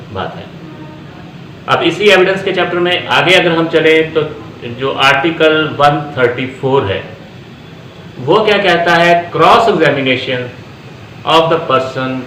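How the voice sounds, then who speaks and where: A man with a Pakistani accent giving an explanatory law lecture, deliberately repeating phrases for emphasis and switching into English terms. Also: a little high, fairly bright tone. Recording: echoey room with faint background noise.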